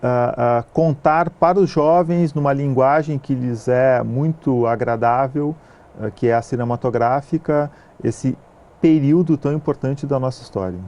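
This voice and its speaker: A man from southern Brazil with a gaúcho accent, a film producer answering interview questions in a measured way, with frequent hesitation sounds. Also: medium pitch, darkish tone, lo-fi sound. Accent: gaúcho Brazilian Portuguese. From southern Brazil (Rio Grande do Sul).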